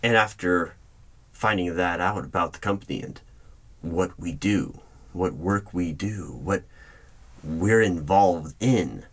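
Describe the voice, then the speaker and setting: A man with a very low voice plays a stern hiring manager at a secret organization. He speaks out loud in a formal, deliberate interviewer's tone for an ASMR roleplay, with computer keys clacking behind him. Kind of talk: ASMR roleplay, spoken at normal volume. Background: computer keyboard typing.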